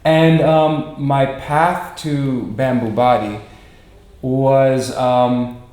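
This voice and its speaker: A man in his forties with an American accent, chatting reflectively as an interview guest, halting and repeating words as he searches for them. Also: medium pitch, little pitch movement, darkish tone. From Miami, United States. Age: 40s. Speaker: interview guest, performer and director. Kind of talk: casual reflective chat. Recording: echoey room.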